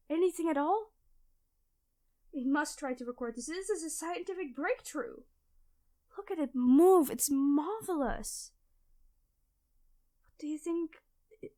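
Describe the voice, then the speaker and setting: High-pitched, flustered, nerdy young classmate, played by a woman. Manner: stammering, breathless rambling, over-apologetic. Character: clumsy, nerdy, excitable female classmate, flustered and apologetic. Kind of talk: ASMR audio roleplay addressed to the listener.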